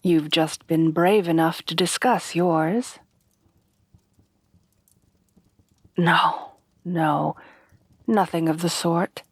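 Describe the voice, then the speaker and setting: Lady acting as a refined monster fiancee, soft slow asmr voice, lowish, super close and dry, proper wording. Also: fairly even intonation, dry recording, exaggerated voice. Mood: tender, reassuring. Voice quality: soft, breathy at times. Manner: formal, genteel, measured phrasing. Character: refined, tender headless horsewoman bride, gently insecure. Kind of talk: soft-spoken ASMR roleplay.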